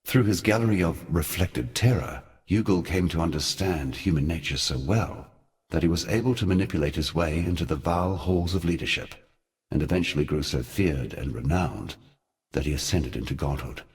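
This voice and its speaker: An ancient god of a video game, speaks in an extremely deep voice. He is grave and weary, with a scornful edge. His storytelling is formal, measured and theatrical. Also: expressive, darkish tone. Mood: grave, brooding. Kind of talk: scripted dramatic video game narration.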